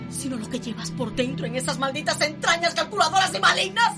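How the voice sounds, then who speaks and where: A proud wronged wife in a radio soap speaks with a Cuban accent. Her voice is high and swings widely with vehement feeling, over prominent music. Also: animated, quick pace. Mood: bitter defiance. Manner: impassioned, vehement. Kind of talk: radio soap opera acting. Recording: loud music behind the voice.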